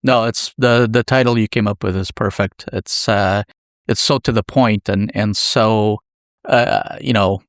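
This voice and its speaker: A man's voice: on the low side, steady tone, unhurried, no echo, clean studio sound. A man hosting an interview podcast, speaking casually and feeling his way through his questions.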